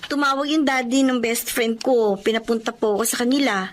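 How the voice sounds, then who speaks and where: An actress playing a respectful, anxious young daughter in a Filipino drama. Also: female voice, fairly high pitch, a little fast, natural voice. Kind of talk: Filipino radio-style drama acting.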